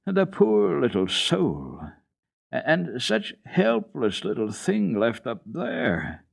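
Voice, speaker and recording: A man's voice: mid-range voice, wildly swooping pitch, takes it slowly, vocal fry, voice pushed up, full character voice. A man voicing nosy, reproachful village women in a radio storybook reading. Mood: pitying reproach. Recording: dull lo-fi old radio recording.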